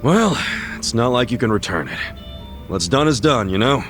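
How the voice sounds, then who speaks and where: A man's voice: medium pitch, lively intonation, moderate pace, faint music behind, close to an everyday voice. An actor playing an easygoing, brash, optimistic man in a video game dub, speaking in slangy, informal dialogue. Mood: breezy, reassuring. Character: easygoing, brash, optimistic street-smart man, a loyal buddy.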